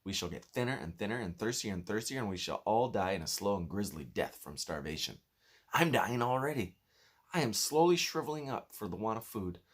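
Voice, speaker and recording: A man's voice: lowish voice, lively intonation, fairly quick, slightly rough. A man performs a miserable blind earthworm from a children's storybook. He is querulous and snappish, sure that doom is on the way, and wallows in self-pity. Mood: doomful. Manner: complaining, snappish. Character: gloomy, self-pitying blind male earthworm, querulous and pessimistic.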